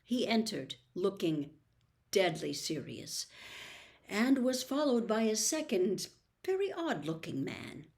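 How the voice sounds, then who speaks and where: A woman reading a children's novel aloud as an expressive storybook narrator. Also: medium pitch, slow. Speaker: storybook reader.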